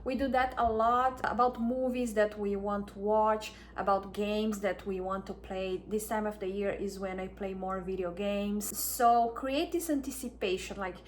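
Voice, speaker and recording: A woman from Brazil with a Brazilian Portuguese accent, chatting to camera as a wellness vlogger, soft and unhurried, with frequent restarts. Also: mid-range voice, fairly even intonation, slight background noise. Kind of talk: casual talk to camera.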